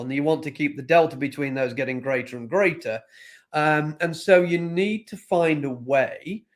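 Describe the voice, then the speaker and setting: A man speaking as a panelist and executive consultant, emphatic and animated, often repeating phrases. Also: medium pitch. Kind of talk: panel discussion.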